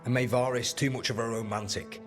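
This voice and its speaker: A man's voice: mid-range voice, steady tone, brisk, soft background music, lightly acted. A man playing a blunt, streetwise resistance fighter in a video game, plain-spoken and sardonic. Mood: wry, firm. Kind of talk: video game character dialogue.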